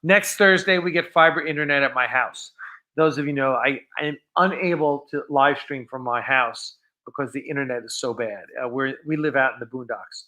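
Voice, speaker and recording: A man's voice: mid-range voice, normal speed, pushed and bright, poor audio. A man hosting a live stream, chatting casually and off script in a rambling, enthusiastic way.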